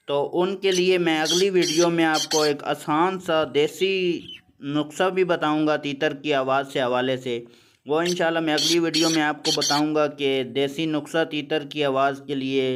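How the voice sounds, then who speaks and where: A man hosting his own channel, explaining a simple remedy in a plain, repetitive way and calling his viewers friends. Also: on the high side. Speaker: channel host. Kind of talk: instructional vlog on bird care. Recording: noisy home recording.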